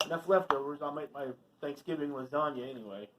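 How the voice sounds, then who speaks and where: A man's voice: a little high, fast, a bit bright, poor audio. A middle-aged man chatting casually as a co-host, chiming in with off-hand asides in a home kitchen. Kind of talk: casual chat. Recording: off-camera, away from the microphone.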